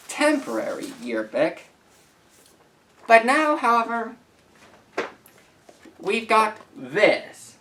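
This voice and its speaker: A young man of about eighteen reading messages aloud and commenting to camera as a YouTuber, with an earnest, deliberate, halting delivery. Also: high voice, a bit bright, distant mic. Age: young adult, about 18.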